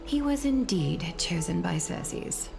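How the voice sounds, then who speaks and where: A woman playing a stern, regal female leader in a fantasy game, formal, measured and resolute. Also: lowish voice, expressive, vocal fry, heavily acted. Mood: measured disapproval. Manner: formal, solemn, deliberate. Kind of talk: dramatic fantasy game voice acting. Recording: game music behind voice.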